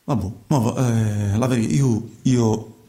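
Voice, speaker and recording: An actor playing a naive young man who drives migrants and dreams of singing, with a southern Italian accent, in an excitable, repetitive, colloquial radio theatre style. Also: mid-range voice, moderate pace, roomy sound, natural voice. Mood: excited, boastful.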